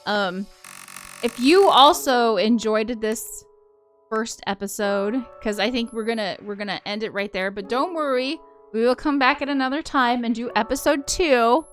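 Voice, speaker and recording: A woman's voice: fairly high pitch, moderate pace, faint music behind. A woman hosting a game playthrough, chatting casually and informally. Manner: informal, says y'all. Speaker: host of a game playthrough channel. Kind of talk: casual chat.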